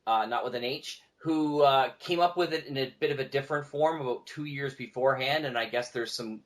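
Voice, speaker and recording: A man's voice: medium pitch, fairly quick. A man speaking as a podcast guest, telling stories casually in a discursive conversational way. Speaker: podcast guest, audio drama producer.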